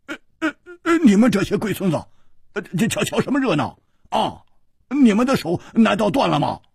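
A man's voice: high-pitched, sing-song, moderate pace, gravelly, slightly rough, no echo, studio quality, full character voice. A man voicing a furious, crude brawler in a martial arts story, blustering, roaring and stammering. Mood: panicked fury.

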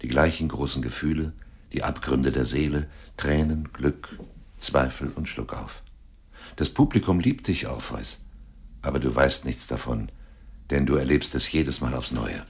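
An actor playing a mysterious, knowing narrator and gatekeeper in a surreal radio drama, speaking in portentous pronouncements with dramatic pauses. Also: male voice, heavily acted. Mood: knowing, solemn. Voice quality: very deep, gravelly, husky.

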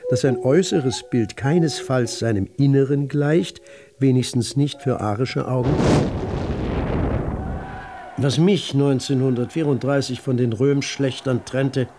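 A man's voice: mid-range voice, close to an everyday voice. Male, in role: literary narrator for radio play, florid and sardonic, middle register, music bed. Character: sardonic male narrator recounting his political past.

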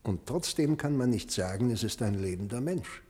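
An actor playing a fascinated, drily clinical doctor in a 1970s radio play, explaining deliberately and musing aloud. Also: male voice, medium pitch, expressive, a little mellow, close to an everyday voice. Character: male doctor, clinical, fascinated, drily matter-of-fact about a body. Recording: long reverberant hall in radio play.

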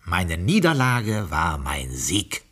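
A discreet butler in a radio play, very low and husky. He speaks with formal courtesy and dry irony, and his pitch moves expressively as he confides his memoirs. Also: huge pitch swings, slow pace, a bit bright, exaggerated voice. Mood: wry, confiding. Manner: formal, courteous, ironically understated. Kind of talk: German radio play acting with narration.

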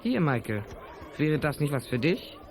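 An actor playing a brash, sly teenage schoolboy with a mocking, cocky manner in a youth audio drama. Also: male voice, on the high side, sing-song, moderate pace, a faint crowd, slight background noise. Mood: slick.

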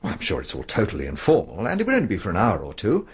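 A man playing an urbane, wry English gentleman writer in a radio drama, with a husky voice. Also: low-pitched, wildly swooping pitch, racing, heavily acted. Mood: genial, persuasive. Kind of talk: British radio drama acting.

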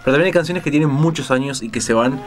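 A man's voice: mid-range voice, quick pace. A man with a Rioplatense Spanish accent presents a music show, explaining things in a casual, digressive way as if thinking aloud.

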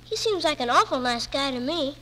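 A woman's voice: high-pitched, lively intonation, brisk, pushed and bright. A woman playing a scrappy, loyal young newsboy with slangy, streetwise talk in a 1940s radio drama. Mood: earnest, chatty. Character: scrappy, loyal young boy selling newspapers. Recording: noisy old radio broadcast, hall reverb.

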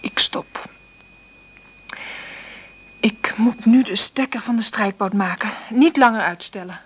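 An actress in a Dutch radio play playing a weary, struggling mother, husky and intimate. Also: mid-range voice, slow, slight background noise, close to an everyday voice. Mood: wistful. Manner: intimate murmured monologue, gentle with her child. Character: weary, struggling mother confiding in her diary.

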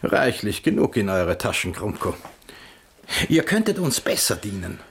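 Mocking imperial envoy, faint noise. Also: male voice, on the low side, very animated, a little slow. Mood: needling. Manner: dry, needling, formal courtly diction. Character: shrewd, mocking imperial envoy, a count at court. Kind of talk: German radio play acting.

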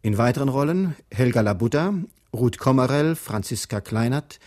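A male radio announcer reads scripted credits with formal, factual delivery. His voice is of average pitch, and he presents each item in an orderly way. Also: animated, normal speed, a little mellow.

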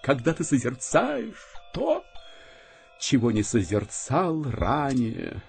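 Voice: mid-range voice, wildly swooping pitch, takes it slowly, gravelly, soft background music, exaggerated voice. An actor in a radio play voicing a melodramatic, philosophizing housefly with a theatrical, buzzing delivery. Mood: grandly musing.

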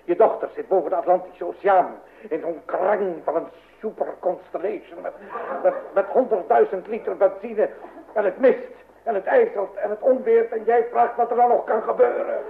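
A man's voice: high voice, normal speed, vocal fry. An actor playing an anxious, blustering middle-aged father in an old radio comedy, stammering and repeating himself in agitation. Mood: fretful. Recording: noisy, dull vintage radio recording.

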